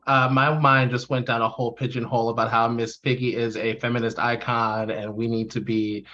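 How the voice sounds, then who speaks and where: A man's voice: mid-range voice, little pitch movement, brisk, pushed and bright, muffled recording. A man speaking as a panelist in an informal, thoughtful and earnest way. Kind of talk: casual reflective discussion.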